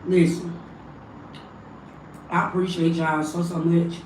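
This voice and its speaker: A raspy, hoarse woman talks loosely to her livestream viewers and calls them family. She sits a little away from the mic in an echoey home room, with faint ambience behind her. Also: fairly low pitch, little pitch movement, takes it slowly, darkish tone, far from the mic, a little hiss or hum. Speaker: livestream spiritual reader. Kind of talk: casual livestream chat.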